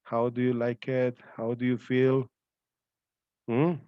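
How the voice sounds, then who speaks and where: A man hosts an online event informally, speaking haltingly and repeating himself. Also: mid-range voice, completely flat delivery, clear, resonant tone, no echo. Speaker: host of a student reading-aloud event. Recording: dull, lo-fi online call audio.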